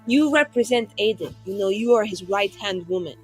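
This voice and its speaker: A woman's voice: medium pitch, expressive, moderate pace, breathy edge, faint music behind, low-quality recording. A woman playing a tabletop roleplaying game, improvising and chatting casually in a rambling, sweary, exasperated way.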